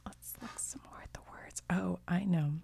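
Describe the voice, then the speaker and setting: Middle-aged woman for whispered ASMR chat: low, breathy, gentle, trailing off. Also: normal speed, no echo. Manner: gentle, chatty, laughs softly, trails off. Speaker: video creator. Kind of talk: soft-spoken ASMR whispering.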